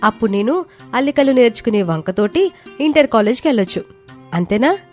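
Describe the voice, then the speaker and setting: A woman's voice: a little high, animated, brisk, darkish tone, soft background music, pitched above the performer's own voice, natural voice. Earnest young daughter-in-law, acted by a woman. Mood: insistent, hopeful. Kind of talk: dramatized moral story for a cartoon.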